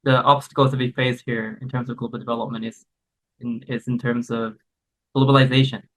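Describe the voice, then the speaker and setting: A speaker from Cambodia talks as a guest on a panel, casual and conversational with frequent fillers. The voice sits at a middle pitch. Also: flat delivery, a little fast, low-quality recording. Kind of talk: panel discussion.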